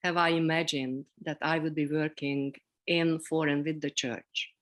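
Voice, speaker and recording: A middle-aged woman with a Hungarian accent, speaking reflectively as an interview guest, pausing between short phrases. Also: low-pitched, little pitch movement, fairly bright tone. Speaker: interview guest, seminary program director.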